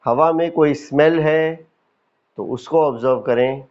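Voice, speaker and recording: A man with an Indian accent leading a guided yoga nidra meditation as a teacher, calm and instructive, with deliberate pauses and repetition. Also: on the high side, dull audio.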